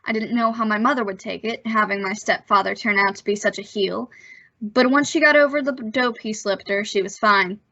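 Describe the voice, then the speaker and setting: A woman's voice: medium pitch, brisk, bright, forceful tone, dry recording, muffled recording. A woman playing an earnest, plucky teenage boy in an old-time radio suspense play, with a hesitant gee-whiz manner. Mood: earnest recounting.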